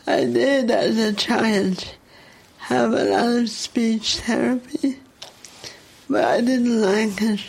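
Slow, gravelly woman with a speech impediment. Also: fairly high pitch, steady tone, a little mellow. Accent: Dublin Irish. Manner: candid, reflective, emotionally open. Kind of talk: documentary interview.

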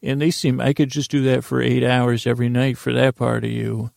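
A man hosts a podcast, rambling a meandering bedtime tale with a goofy, self-deprecating ease. His voice is deeply gravelly and creaky, with a narrow, even pitch. He is close on a dry mic with no room sound. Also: a little fast, no echo. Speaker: podcast host. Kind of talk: rambling bedtime story monologue.

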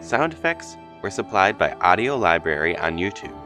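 A man's voice: low voice, very animated, normal speed, music in the background. A man reading scripted production credits as an announcer.

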